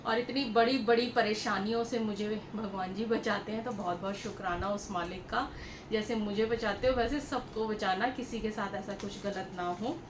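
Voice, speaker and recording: A woman's voice: mid-range voice, steady tone, normal speed. A woman telling a story to viewers in a casual, chatty vlog style, repeating herself and addressing them directly. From India. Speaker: vlogger. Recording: echoey room with clear background noise.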